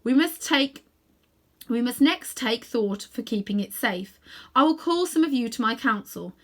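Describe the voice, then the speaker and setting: A woman's voice: on the high side, expressive, pitched above the performer's own voice. Woman voicing a majestic lion, solemn and commanding. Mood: grave, commanding. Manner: solemn, commanding, measured delivery. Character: strong, happy, majestic male lion ruler.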